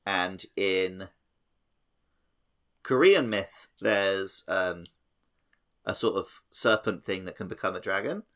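Low-pitched man in casual discussion. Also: slow pace, pauses often, a bit bright. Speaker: podcast co-host. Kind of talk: casual podcast discussion explaining mythology and games.

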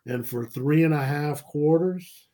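A man's voice: on the high side, unhurried, warm tone. A man, chatting casually about sports, rambling and laughing often. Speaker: podcast guest.

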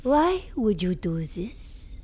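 A woman's voice: mid-range voice, big pitch swings, slow, dark tone. An actress playing a proud, hostile older mother-in-law in an audio drama. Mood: proud, wary.

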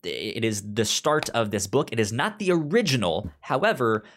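A man hosts a podcast in a conversational way, sometimes slipping into reading. His voice sits at a middle pitch, and he stutters, repeating sounds and words as he goes. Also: very animated, normal speed, fairly bright tone. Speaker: podcast host. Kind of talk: conversational pitching of media picks, partly reading synopses.

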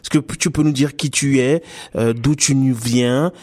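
A man's voice: medium pitch, fairly even intonation, normal speed, darkish tone. A man with an ordinary mid-range voice hosts a radio interview from the studio. He keeps stopping to restart his sentences and fills the gaps with hesitations. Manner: hesitant, many fillers and restarts. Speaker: radio host.

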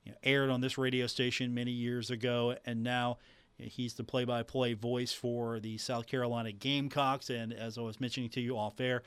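A man's voice: medium pitch, flat delivery, moderate pace, clear, resonant tone, dry recording. Dry, close-miked male sports radio host. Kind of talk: sports talk radio hosting, conversational. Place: radio station producer's booth. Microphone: studio broadcast microphone.

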